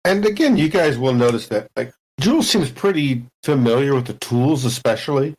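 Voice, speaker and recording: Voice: mid-range voice, normal speed, low-quality recording. A tabletop game player describing their character in a casual, playful way. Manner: animated, playful.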